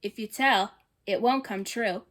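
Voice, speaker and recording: Calm terse big brother, read by a woman. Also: medium pitch, unhurried, pitched below the performer's own voice, close to an everyday voice. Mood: quiet, terse. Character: calm older brother, a boy of few words.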